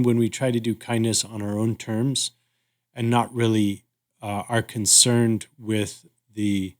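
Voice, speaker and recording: A man co-hosting a reflective conversation, speaking thoughtfully and haltingly as he searches for words. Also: lowish voice. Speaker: podcast host.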